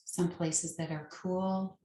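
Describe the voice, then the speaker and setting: A woman leading a guided meditation as a yoga nidra teacher, with a soothing, gentle and unhurried manner. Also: lowish voice, level tone. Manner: soothing, unhurried, gentle instruction with long pauses. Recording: roomy, echoing sound.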